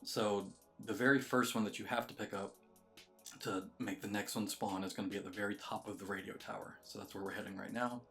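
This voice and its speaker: Male game-guide narrator, low and flat in delivery, matter-of-fact and casual, with faint music underneath. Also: brisk, vocal fry. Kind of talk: casual video game walkthrough commentary.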